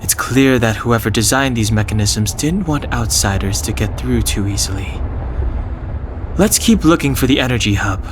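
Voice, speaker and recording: Actor as serious young adventurer, background noise. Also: male voice, medium pitch, lively intonation, close to an everyday voice. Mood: grave, thoughtful. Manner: serious, measured, matter-of-fact. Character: sensible, reserved young man, analytical adventurer. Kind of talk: game cutscene acting.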